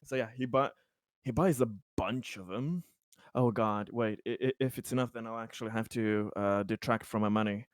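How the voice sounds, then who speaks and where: Halting, amused man at a tabletop game. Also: fairly low pitch, no echo, studio quality. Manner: hesitant, stop-and-start phrasing, easily amused. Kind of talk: casual improvised game chat.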